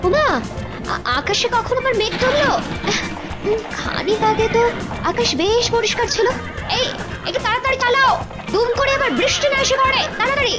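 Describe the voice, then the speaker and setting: A woman voicing a nagging but easily placated queen in a cartoon, scolding and expressive. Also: very high-pitched, moderate pace, pauses often. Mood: anxious. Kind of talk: animated cartoon dialogue. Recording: very loud music behind the voice.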